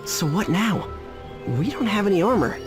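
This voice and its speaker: A man's voice: sits high, expressive, moderate pace. An actor playing a breezy, confident teenage boy hero in a video game cutscene. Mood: breezy, puzzled. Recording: loud music under the voice.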